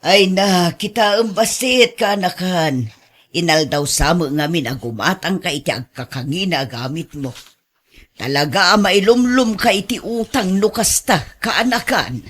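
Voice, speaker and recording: A man's voice: high-pitched, animated, measured pace, a bit bright, exaggerated voice. A man with a northern Philippine accent playing an astonished, chiding older relative in a radio drama. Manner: exclaiming, chiding.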